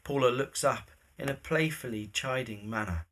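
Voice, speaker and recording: A man's voice: medium pitch, normal speed, fairly bright tone. A man reading scripted stage directions as the narrator of an audio drama.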